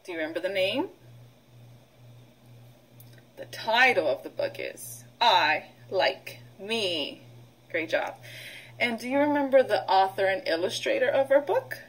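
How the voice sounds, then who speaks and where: A middle-aged woman, a preschool teacher, leading a read-aloud vocabulary lesson in an instructional way, pausing for children to repeat. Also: mid-range voice, lively intonation, breathy edge, poor audio. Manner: instructional, prompting with pauses for repetition.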